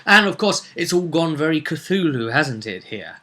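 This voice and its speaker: A man's voice: on the high side, big pitch swings, normal speed, pushed and bright. A man talking as a game master in a casual storytelling vlog, with theatrical emphasis and rambling asides. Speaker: game master recapping a tabletop roleplaying campaign.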